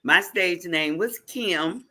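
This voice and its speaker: A woman from Ohio in a casual podcast chat, slowly and deliberately describing her stage role, with sassy asides. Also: fairly low pitch, sharp tone, a little hiss or hum. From Ohio, United States. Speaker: podcast guest, cast member.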